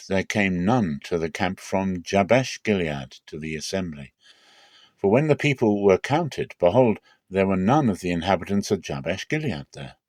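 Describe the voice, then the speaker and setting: A man with a lowish voice reads scripture aloud as a dramatic narration. His delivery is measured and solemn, with clear emphasis on key phrases. The sound is very dry, with no room around it. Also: darkish tone, no echo. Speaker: Bible reader.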